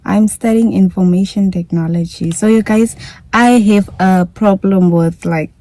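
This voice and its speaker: A woman's voice: mid-range voice, unhurried, warm tone, dry recording. A young woman from Namibia with a Namibian English accent, chatting casually in a vlog Q&A and repeating herself often. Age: young adult. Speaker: YouTuber.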